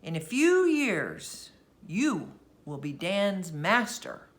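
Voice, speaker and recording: A mysterious storybook ship's cook, acted by a woman, solemn and prophetic. He talks slowly and cryptically, in measured phrases that sink low. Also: big pitch swings, heavily acted. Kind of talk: storybook character voice.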